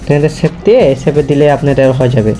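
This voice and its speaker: A man's voice: fairly high pitch, animated, rapid-fire, warm tone, soft background music. A man hosting a casual step-by-step screen tutorial, linking his sentences repetitively with the same connective word. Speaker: tutorial host.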